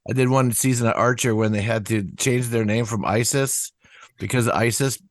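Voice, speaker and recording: A man's voice: mid-range voice, fairly even intonation, normal speed, no echo. An older man, a musician guest, chatting casually in an interview with lots of restarts and asides. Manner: rambling, many restarts and asides.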